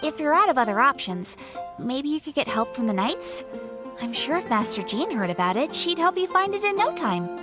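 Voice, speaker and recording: High-pitched, kindly young townswoman. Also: sing-song, normal speed, music in the background. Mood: apologetic, helpful. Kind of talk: video game voice acting.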